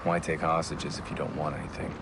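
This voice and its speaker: An actor playing a middle-aged special-ops agent in a video game, gruff, terse and commanding. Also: male voice, very deep voice, flat delivery, fast talker, background noise. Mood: focused. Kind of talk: cinematic video game acting.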